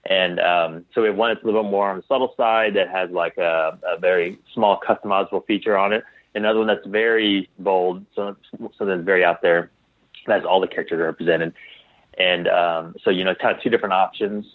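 A man speaking as a guest in a casual interview, in a halting, tentative way with trailing sentences and restarts, over a call. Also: deep voice, steady tone, no room sound. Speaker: interview guest, clothing designer. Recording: tinny phone-like line.

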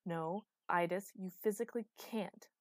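A woman voicing a desperate, fierce, commanding heroine in a dark fantasy audiobook, emotional and insistent. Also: mid-range voice, a little slow, no room sound, clean studio sound, natural voice. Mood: indignant. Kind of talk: audiobook character acting.

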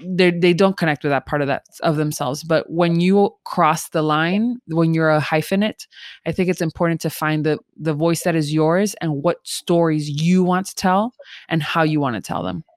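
Cuban American woman, low voice, animated guest, restarts phrases, dry sound. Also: moderate pace, no echo. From United States. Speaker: guest, theatre company co-founder. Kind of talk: casual podcast interview.

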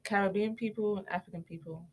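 A woman's voice: mid-range voice, steady tone, a little hiss or hum, poor audio. A young woman from the UK, a guest in a podcast discussion, who pushes back with pointed questions. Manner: challenging, quick questions. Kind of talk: casual group discussion.

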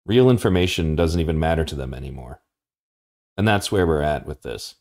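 A man with a very deep voice delivers scripted commentary in a firm, assertive tone. He speaks with steady conviction. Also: brisk. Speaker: podcast commentator.

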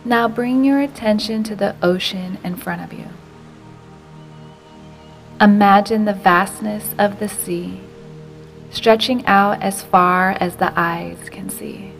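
A woman narrating a guided meditation in a slow, gentle, unhurried way, with softly breathed phrase endings. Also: medium pitch, vocal fry, faint music behind.

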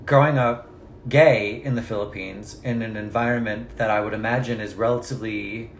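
A man's voice: lowish voice, fairly even intonation, normal speed, roomy sound, slight background noise. A man interviewing a guest in a chatty, curious way, prompting him with questions. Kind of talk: casual interview conversation.

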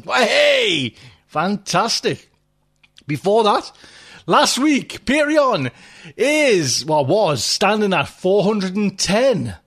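Middle-aged male podcast host, high lively voice, loose casual rambling delivery. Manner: rambling, chatty, laughs often, swears. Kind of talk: casual chat.

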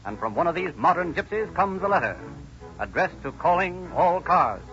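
A man's voice: high-pitched, very animated, moderate pace, fairly bright tone, highs cut off, faint music behind. A man announcing and narrating from a script in a formal, declamatory 1930s radio style.

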